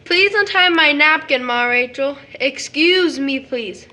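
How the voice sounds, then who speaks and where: A woman's voice: high voice, unhurried, pushed and bright. A woman playing a chatty, polite small boy in an audio drama, full of innocent questions and eager insistence.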